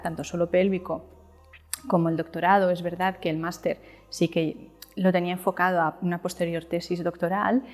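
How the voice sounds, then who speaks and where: A thirty-year-old woman from Spain with a Spanish accent, chatting casually about her experience as an interview guest. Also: fairly low pitch. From Valencia, Spain. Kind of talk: casual chat, explaining personal experience. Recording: echoey room with long reverb.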